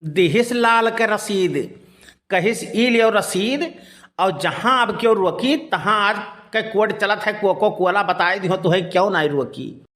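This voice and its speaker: A man's voice: sits high, unhurried, a bit bright. A man playing a folksy, rambling village uncle in a cartoon, with an Awadhi accent. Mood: folksy storytelling. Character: folksy village uncle telling tall anecdotes. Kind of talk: cartoon storytelling in rustic dialect.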